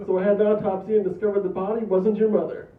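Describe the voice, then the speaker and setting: Male actor as suspicious agent, high-pitched, stumbling. Tinny distant sound. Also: flat delivery, rapid-fire, natural voice. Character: suspicious male intelligence agent, adopted son. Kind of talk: comedy table read. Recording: echoey, distant, tinny phone-like recording.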